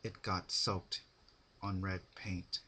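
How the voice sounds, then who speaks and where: Slow, low-voiced male story narrator. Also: little pitch movement, pushed and bright, slightly rough. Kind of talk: dramatic scripted story narration.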